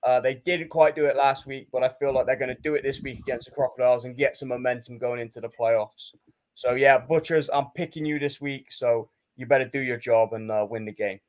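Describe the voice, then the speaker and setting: A man's voice: medium pitch, fairly even intonation, a little fast, a little mellow. Matter-of-fact male podcast co-host. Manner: confident, matter-of-fact, teasing. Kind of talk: casual sports picks chat.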